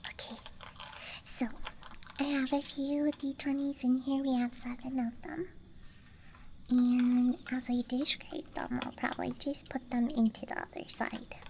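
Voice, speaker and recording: A young woman speaks in a soft, breathy ASMR whisper, with a high, girlish tone. Her delivery is extremely slow, gentle and playful, as if sharing small things one at a time. A faint outdoor night ambience sits behind her. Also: a little hiss or hum, rough recording. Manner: gentle, playful, unhurried murmuring. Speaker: ASMR streamer. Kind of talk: ASMR, unscripted show-and-tell. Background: night campground ambience track.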